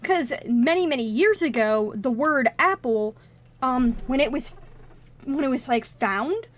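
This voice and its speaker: A woman's voice: high-pitched, animated, unhurried. A woman whose first language is Russian, casually commenting on a game on a live stream and thinking aloud as she wanders into tangents.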